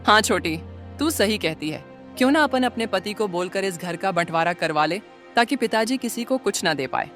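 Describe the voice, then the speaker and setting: A calculating elder sister-in-law of a village household acted by a woman, with a medium-pitched voice. It is cartoon story dialogue over faint music. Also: expressive, moderate pace, a bit bright, lightly acted. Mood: conspiring.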